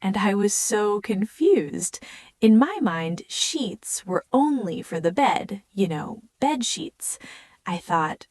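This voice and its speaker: Slow, clear woman co-host. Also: mid-range voice, a little mellow, dry recording. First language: German. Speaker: podcast co-host. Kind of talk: scripted learner podcast chat.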